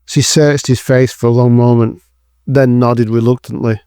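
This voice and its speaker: A man's voice: medium pitch, flat delivery, moderate pace, warm tone, clean tone, dry recording, studio quality. A man reading scripted narration for an audio drama as its narrator.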